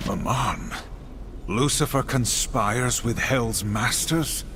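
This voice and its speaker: A man's voice: medium pitch, animated, takes it slowly, a bit bright, slight background noise. A man acting a stern, honor-bound warrior horseman, grave and formal, in a video game cutscene.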